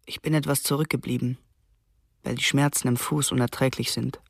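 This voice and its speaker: A frightened teenage soldier, gravelly voice. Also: male voice, medium pitch, steady tone, dry recording, studio quality. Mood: fearful. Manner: quiet, measured first-person recounting. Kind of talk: German radio documentary reading.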